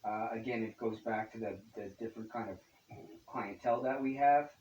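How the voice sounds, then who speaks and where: A man, an accountant, answering interview questions casually, starting sentences haltingly with a wry streak. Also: fairly low pitch, a little mellow, highs cut off. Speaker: accountant, business partner. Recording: roomy echo, distant mic, faint hiss.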